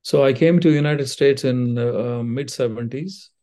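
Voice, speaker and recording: An older man from India with an Indian accent, a surgeon answering interview questions in a measured way with frequent fillers and restarts. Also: medium pitch, mellow. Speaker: cardiothoracic surgeon, guest.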